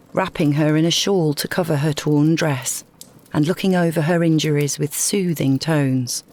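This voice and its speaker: A woman's voice: deep voice, a little mellow. A woman reading a scripted story as a dramatic narrator.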